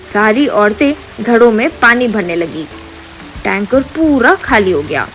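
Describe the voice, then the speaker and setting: A woman narrates a scripted comic moral story. Her voice sits a little high. Soft music plays behind her. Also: expressive, measured pace.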